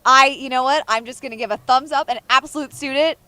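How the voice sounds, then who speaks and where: A woman's voice: high-pitched, quick pace, sharp tone, dry recording. Upbeat woman co-hosting a sports show. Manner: upbeat, laughs often, keeps the show on track. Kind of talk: casual post-game chat.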